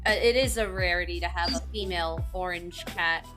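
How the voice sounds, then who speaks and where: A woman's voice: lowish voice, fairly quick, music in the background. A woman, hosting a podcast in a hesitant, agreeable, casual chatting style. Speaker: podcast host. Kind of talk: casual chat.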